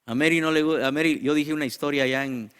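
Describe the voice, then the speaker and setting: A man with a Guatemalan accent preaching to a congregation as a pastor, in emphatic, repeated exhortations with deliberate pauses. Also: a little high, fairly even intonation, a bit bright, clear, resonant tone. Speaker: pastor and teacher. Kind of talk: sermon to a congregation.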